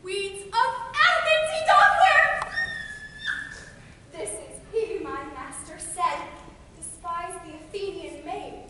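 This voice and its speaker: A mischievous, quick sprite, played by a woman speaks stage verse in a high, bright voice. The sound is recorded from far off in an echoey hall, with long reverb and faint background noise. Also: exaggerated voice. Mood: impish. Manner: theatrical verse delivery, hushed then bursting out. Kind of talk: stage play performance.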